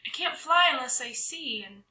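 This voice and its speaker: A woman voicing a plucky, quick-witted child in a storybook, speaking in an earnest, coaxing way. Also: high voice, expressive, sharp tone, voice pushed up, exaggerated voice. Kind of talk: storybook read-aloud dialogue.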